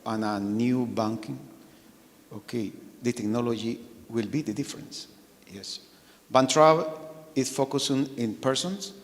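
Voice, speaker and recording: A man with a Latin American Spanish accent, speaking haltingly as a banking panelist and often confirming his own phrases. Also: medium pitch. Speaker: bank board president, panelist. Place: conference hall. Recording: echoey hall with long reverb.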